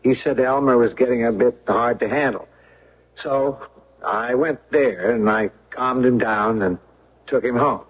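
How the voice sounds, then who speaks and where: A man's voice: medium pitch, a little slow, breathy edge. A man playing a defensive, indignant murder suspect on the witness stand in a 1970s radio drama. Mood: explaining. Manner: protesting, defensive. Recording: dull, lo-fi old radio recording.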